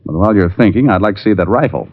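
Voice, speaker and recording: An actor playing a tough, terse private detective in 1940s radio style, with a firm, clipped delivery. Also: male voice, on the low side, racing, slight background noise, natural voice. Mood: firm, pressing. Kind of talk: 1940s radio detective acting and first-person narration. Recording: muffled old radio recording.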